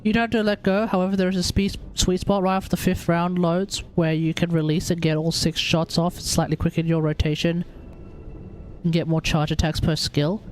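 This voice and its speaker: A man's voice: fairly high pitch, flat delivery, a little fast, a little hiss or hum, poor audio. A young man with a laid-back, musing manner, casually commentating on a game and chatting with viewers. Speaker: live streamer.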